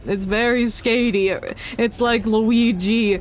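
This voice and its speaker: Slow-paced, congested woman streaming a game. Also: a little high, steady tone. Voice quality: congested, stuffy nose. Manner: playful, chatty, mock-indignant. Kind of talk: casual live game commentary. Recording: faint game music behind. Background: game audio and music.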